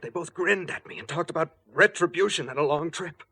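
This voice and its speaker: Guilty murderer, 1940s radio drama: anxious, husky, higher-pitched, agitated. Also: male voice, brisk, sharp tone, full character voice. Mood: shaken. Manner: agitated, pleading. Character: anxious, guilt-ridden male murderer, easily panicked. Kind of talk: 1940s radio mystery acting.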